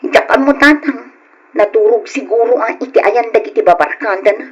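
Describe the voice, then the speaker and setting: An actress with an Ilocano accent playing a neglected, nagging wife who sighs and complains in an old-time radio drama. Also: female voice, on the high side, moderate pace, lo-fi sound, lightly acted. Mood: complaining. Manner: complaining, sighing. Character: exasperated neglected wife, nagging and fretting over her absent husband.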